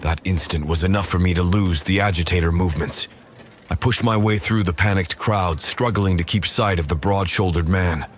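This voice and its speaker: Guy as a loyal king's officer telling the story, deep voice, grave n dramatic, faint noise. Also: moderate pace, lightly acted. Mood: earnest, troubled. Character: loyal, earnest male royal officer narrating his investigation. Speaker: writer and performer of the audio drama. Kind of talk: scripted first-person audio drama narration.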